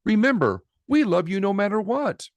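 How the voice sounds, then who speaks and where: A man voicing a gentle, loving mother pig who gives tender, reassuring encouragement in a children's storybook. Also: high voice, big pitch swings, normal speed, no room sound, pitched above the performer's own voice. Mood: loving, encouraging.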